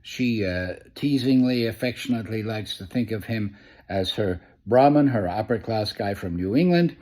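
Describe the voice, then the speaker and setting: A man who grew up in New York City, hosting a spoken newspaper review in a conversational way, often pausing with "uh" and restarting phrases. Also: on the low side, expressive, a little mellow. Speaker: host of a press review. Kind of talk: casual spoken press review.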